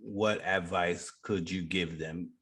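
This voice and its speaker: A man's voice: deep voice, steady tone, takes it slowly, a bit bright, husky. A man hosting a radio interview in a casual, informal and slangy way. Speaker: radio show host, interviewer.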